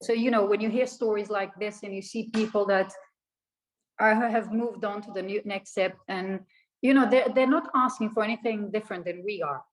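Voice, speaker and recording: A woman's voice: medium pitch, steady tone, moderate pace, low-quality recording. Earnest woman guest on a video panel. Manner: earnest storytelling. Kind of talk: online panel discussion. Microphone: video call.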